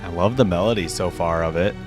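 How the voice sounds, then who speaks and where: A man's voice: low voice, fast talker. A man giving casual, exclamatory reaction commentary as an informal video host, with game theme music behind him.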